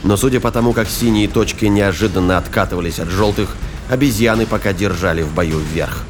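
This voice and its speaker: A man's voice: lowish voice, brisk, over music. A man giving dramatic scripted narration as the narrator of a science-fiction audio drama.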